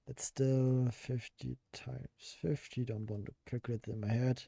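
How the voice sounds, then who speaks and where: A hoarse man talks casually while he codes, musing out loud and answering his chat. His voice is very dark and gravelly, with a fry at the ends of phrases. The sound is close and dry, with no room in it. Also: medium pitch, level tone, a little slow, dry recording. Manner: thinks aloud, answers chat, laughs at himself. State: hoarse, voice worn out. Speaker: game developer streaming a game jam. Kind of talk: casual live-stream chat while coding.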